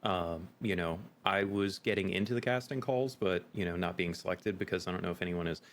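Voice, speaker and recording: A man in a casual interview, talking as a guest in a halting, reflective way. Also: low voice. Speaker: podcast guest, actual-play game master. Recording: clear background noise.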